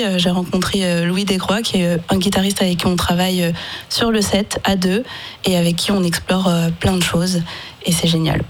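A woman singer-songwriter answering questions in a casual radio interview, thoughtful and hesitant, with many fillers. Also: fairly low pitch. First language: French.

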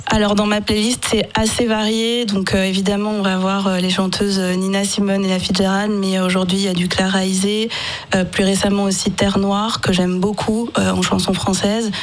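A woman's voice: mid-range voice, level tone, normal speed. Hesitant woman in a radio interview. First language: French. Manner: hesitant, many fillers. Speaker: singer-songwriter guest.

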